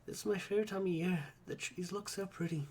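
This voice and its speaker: A man voicing a bubbly, cheerful schoolgirl who is a magical girl, speaking in a raised, excited, giggly voice. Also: fast talker, slightly rough. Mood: gushing. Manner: excited, giggly, high-pitched.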